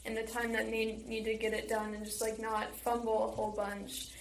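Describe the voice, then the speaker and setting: A woman's voice: a little high, monotone, moderate pace. A teenage girl answering casually in an interview, peppering her sentences with "like". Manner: heavy use of "like". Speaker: student stage manager. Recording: roomy echo, faint background noise.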